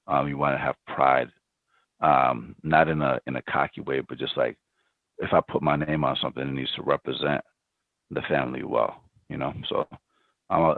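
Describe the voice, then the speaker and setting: Very deep-voiced man on a phone-like line. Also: creaky, no echo. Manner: reflective, many ums and restarts. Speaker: podcast guest, startup founder. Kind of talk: casual interview answers. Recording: dry, phone-like remote line.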